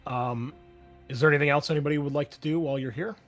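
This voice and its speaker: A man's voice: a little high, steady tone, fast talker, faint music behind. A man running a tabletop game as game master, narrating casually with many fillers.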